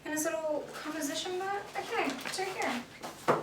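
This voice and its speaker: A woman's voice: very high-pitched, normal speed. A woman playing a chatty, guileless, supportive coworker in a live stage play. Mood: eager, sincere. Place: indoor theater stage, picked up from afar. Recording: echoey stage, distant mic, noisy.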